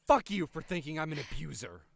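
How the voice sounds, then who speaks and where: A man's voice: a little high, very animated, brisk, vocal fry, full character voice. A man acting a furious, exhausted older brother in an audio drama, swearing and accusing explosively. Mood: outraged. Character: furious, exhausted older brother who raised his sister.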